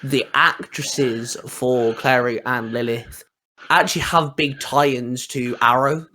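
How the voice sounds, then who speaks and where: A man's voice: medium pitch, fairly even intonation, slow, bright, forceful tone, slight background noise, rough recording. Man hosting a casual podcast. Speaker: podcast host. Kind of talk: casual podcast chat, questioning and commenting.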